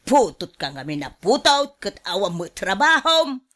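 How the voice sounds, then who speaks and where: A woman's voice: medium pitch, sing-song, moderate pace, fairly bright tone. A woman playing a nosy, admonishing older sister and mother in a radio drama, emphatic and gossipy. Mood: exasperated.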